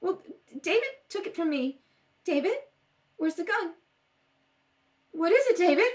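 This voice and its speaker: A woman's voice: extremely high pitch, expressive, measured pace, a little mellow. A woman playing a distressed, earnest murder suspect, pleading emphatically in old-time radio melodrama style. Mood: alarmed. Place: home kitchen table. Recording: distant home rehearsal recording.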